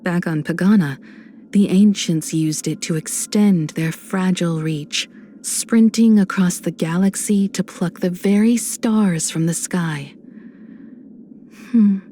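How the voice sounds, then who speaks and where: Casting: composed female mentor and star traveler for a game; very slow, dark-toned delivery, playful warmth, measured and thoughtful. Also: fairly low pitch, slight background noise. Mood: wistful. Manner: measured, reflective, gently teasing. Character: composed adult woman space traveler, warm mentor, playful. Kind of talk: video game character voice lines.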